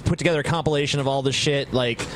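A man's voice: mid-range voice, steady tone, racing, slight background noise. A man co-hosting a podcast in crude, joking banter over a headset mic from home. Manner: crass, joking, mock-whiny asides. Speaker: podcast co-host.

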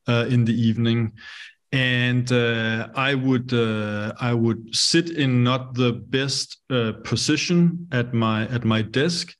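Danish man, halting and hesitant. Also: mid-range voice. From Denmark. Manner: hesitant, many fillers and restarts. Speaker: guest. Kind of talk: interview on a video call. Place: bright home room. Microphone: video call.

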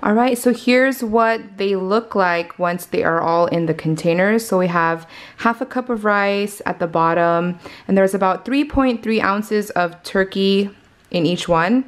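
A woman's voice: lowish voice, normal speed. A woman chatting through her weekly meal prep, explaining each step to viewers as she cooks in her home kitchen. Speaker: cooking vlogger. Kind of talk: casual meal prep narration.

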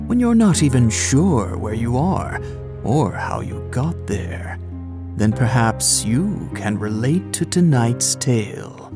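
A man announces a play in scripted narration. His mid-range voice moves slowly with wide, dramatic swings of pitch. Music plays clearly behind him.

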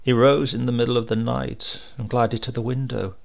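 A man reading a literary supernatural tale aloud in a measured, expressive storytelling manner. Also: mid-range voice, vocal fry. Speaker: story reader.

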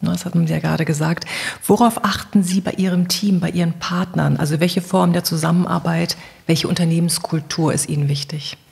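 A woman's voice: lowish voice, fairly even intonation, brisk, creaky, hardly any pauses, darkish tone. A woman hosting a podcast, putting conversational interview questions to her guests.

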